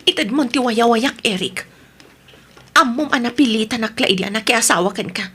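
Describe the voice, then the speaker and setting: Unhappy ilocano wife, breathy higher voice, pleading but firm, radio drama. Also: female voice, animated, brisk, a bit bright. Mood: pleading, resolute. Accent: Filipino, Ilocano. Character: unhappy wife pleading firmly for her freedom.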